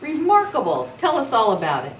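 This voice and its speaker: A woman's voice: on the high side, huge pitch swings, a little fast. A woman playing a gracious university official in an old-time radio script reading. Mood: welcoming. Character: gracious female university official welcoming heroes. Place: club meeting room, live presentation. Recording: echoey, noisy room, distant mic.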